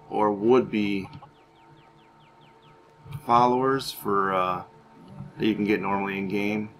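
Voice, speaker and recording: Low-voiced man doing game commentary, noisy recording. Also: fairly bright tone. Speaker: gaming video host. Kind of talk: roleplay game commentary, casual and in character.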